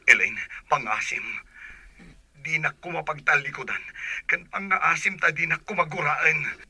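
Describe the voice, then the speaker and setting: A highish, very forceful cheating sweetheart begging to keep his lover. His husky voice pleads in radio drama style, recorded dry and close. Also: measured pace, no echo, full character voice. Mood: pleading. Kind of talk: Ilocano radio drama acting.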